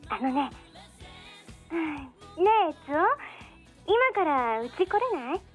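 A flighty, nosy young woman with a very high, girlish voice. Her chatty radio drama delivery swings up and down in pitch, coaxing and gossipy, with music faintly behind her. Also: big pitch swings, takes it slowly, heavily acted. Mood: coaxing, pouty. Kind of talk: radio drama acting, casual conversational Japanese.

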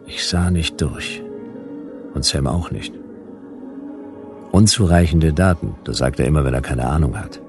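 Deep, laconic private eye. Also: male voice, a little slow, long pauses, music in the background. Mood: wry, matter-of-fact. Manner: dry, laconic. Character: laconic, wry male private detective narrating his case. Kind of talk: hard-boiled narration in German radio play.

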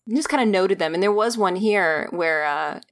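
A woman's voice: mid-range voice, normal speed. A woman co-hosting a podcast, talking casually about a fantasy book series, laughing often and trailing off mid-sentence. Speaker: podcast co-host.

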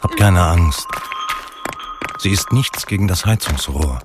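An actor playing an enigmatic male guide in a radio drama, speaking calmly and cryptically. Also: sits low, measured pace, heavy background noise. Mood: cryptic, calm. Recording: clear music behind the voice.

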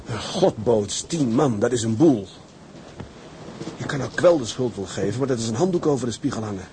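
A man's voice: medium pitch, animated, moderate pace, dark tone, lightly acted. Man acting a resolute sea captain, faint background noise. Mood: guilt-ridden, brooding. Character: resolute, guilt-burdened sea captain of a tugboat crew. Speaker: actor in a radio drama. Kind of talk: radio drama acting with letter-reading monologues.

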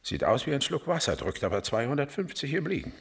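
An actor playing a gruff policeman in a radio crime drama, with a husky voice and a clipped, wry manner. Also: medium pitch, big pitch swings, quick pace, heavily acted. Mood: brisk, wry. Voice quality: husky, raspy. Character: gruff male police officer briefing a colleague.

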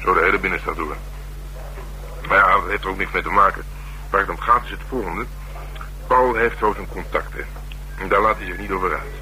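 An actor playing a streetwise, sardonic middle-aged police detective in a Dutch radio drama, talking bluntly and colloquially with dry teasing. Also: male voice, low voice, fairly quick, long pauses, pushed and bright. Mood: matter-of-fact. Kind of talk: naturalistic radio drama dialogue. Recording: humming, noisy old radio broadcast.

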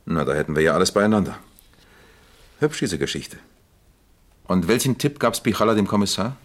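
An actor playing a wry, unhurried police captain in a German radio crime drama, with a dry, matter-of-fact delivery. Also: male voice, low voice, very animated. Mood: probing, calm.

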